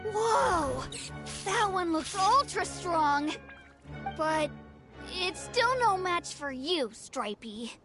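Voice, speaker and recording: An eager, stubborn little boy from a video game, played by a woman. The voice is very high and childlike, speaking slowly with lively rises and falls. Music is clearly audible behind it. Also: exaggerated voice. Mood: boastful. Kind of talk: animated video game voice acting.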